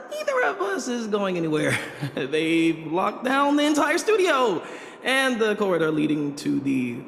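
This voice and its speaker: An actor voicing a cowardly, lowly office grunt in a game, babbling and stammering with nervous giggles. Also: creaky, fairly bright tone, a little hiss or hum, exaggerated voice. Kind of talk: animated game voice acting. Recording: very echoey hall with long reverb.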